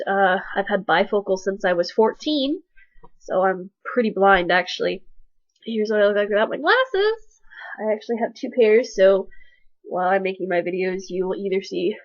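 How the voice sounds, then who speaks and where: A woman's voice: medium pitch, no echo. Awkward, stuffy-voiced young woman on webcam. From Texas. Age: young adult. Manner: awkward, self-deprecating, rambling with many fillers. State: stuffy and sick with allergies. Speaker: college student vlogger. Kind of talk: casual self-introduction vlog. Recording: dull, lo-fi webcam audio.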